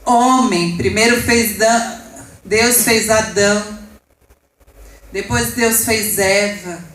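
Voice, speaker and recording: A woman's voice: mid-range voice, steady tone, slow pace, a bit bright, echoey room, slight background noise, rough recording. Passionate Brazilian woman preaching in church. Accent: Brazilian Portuguese. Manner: passionate, rising and falling preaching with asides to the congregation. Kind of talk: sermon. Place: church hall.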